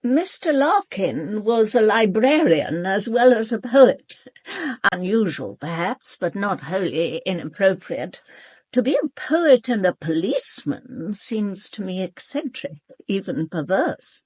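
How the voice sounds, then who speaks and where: A woman's voice: mid-range voice, big pitch swings, measured pace, a little mellow, breathy edge, dry recording. An actress playing an imperious old aristocratic lady in a radio drama, with a clipped, formal, upper-class English delivery. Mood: formal, dry. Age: older.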